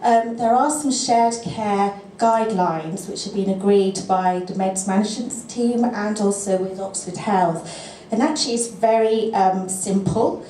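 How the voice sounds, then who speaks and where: A woman gives a talk and fields questions in a lecture room. Her tone is mellow and warm, and she picks her words carefully, with small restarts. The sound is roomy, with a faint hiss behind her. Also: medium pitch. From England. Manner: measured, thinks aloud with fillers and restarts. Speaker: speaker at a GP teaching session. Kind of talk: presentation and answering questions.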